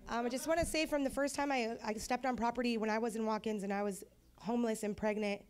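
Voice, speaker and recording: A woman's voice: on the high side, fairly even intonation, fast talker, a little mellow, smooth. A young woman giving an earnest, heartfelt personal testimony on a church stage into a handheld mic. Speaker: program graduate.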